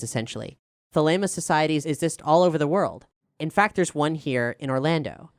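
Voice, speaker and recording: Casual Q&A creator, female, clear resonant voice, candid animated storyteller, very dry close sound. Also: sits low, no echo. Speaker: show creator and writer answering questions. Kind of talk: casual reflective Q&A chat.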